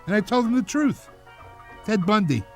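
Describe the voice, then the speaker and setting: A man playing a jaded, snarky public radio announcer in a deadpan, mock-pompous comic monologue. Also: sits high, normal speed, faint music behind. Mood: jaded, sardonic.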